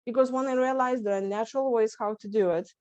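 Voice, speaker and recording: A woman's voice: on the high side, fairly even intonation, fast, darkish tone, dry recording, lo-fi sound. A woman from Lithuania with a Lithuanian accent, a stress coach telling her story in an earnest interview. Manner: earnest, many restarts and small laughs. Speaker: guest, stress management coach. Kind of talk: candid interview talk. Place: home setup, video call. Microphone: video call.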